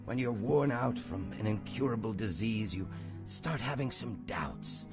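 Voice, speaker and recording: A man's voice: on the low side, animated, over loud music. An actor playing an ailing, courteous but evasive older man in a video game drama, speaking formally and philosophically. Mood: weary, musing. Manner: formal, philosophical, measured speech.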